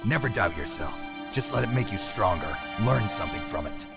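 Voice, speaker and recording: Hardened soldier, very deep gruff voice, clipped lines, loud score behind. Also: male voice, lively intonation, moderate pace, over loud music. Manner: gruff, clipped and low-key. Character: hardened male soldier, terse and aloof. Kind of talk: video game cutscene acting.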